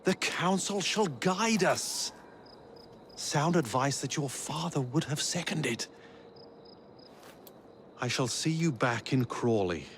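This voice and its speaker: An actor playing a stern, cautious senior assassin with a formal, admonishing manner in a video game. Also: male voice, on the high side, animated, takes it slowly, pauses often, fairly bright tone, slight background noise, heavily acted. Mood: stern, dismissive. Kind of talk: video game cutscene acting.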